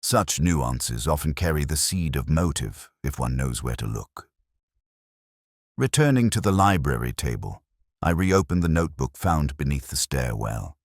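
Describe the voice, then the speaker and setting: A man reading a scripted literary detective story in the first person, with a measured, formal, reflective delivery. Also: extremely low pitch, big pitch swings, dry recording, studio quality. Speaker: audiobook-style detective story narrator.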